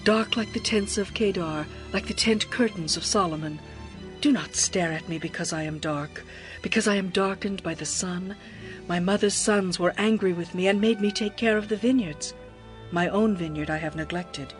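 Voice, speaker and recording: Actress as a yearning young bride over music. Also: female voice, on the low side, normal speed, lightly acted. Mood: earnest, pleading. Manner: expressive, poetic, tender delivery. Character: young woman bride, yearning, adoring, lovestruck. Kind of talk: dramatized scripture reading over music.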